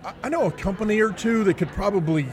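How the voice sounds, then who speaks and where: A man's voice: high voice, fast talker. A man chatting casually as a podcast co-host. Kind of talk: casual chat. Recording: busy crowd chatter behind the voice.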